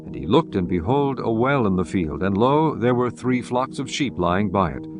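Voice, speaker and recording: A man narrating scripture in a measured, reverent manner. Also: fairly low pitch, lively intonation. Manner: measured, reverent, archaic diction. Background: guitar music.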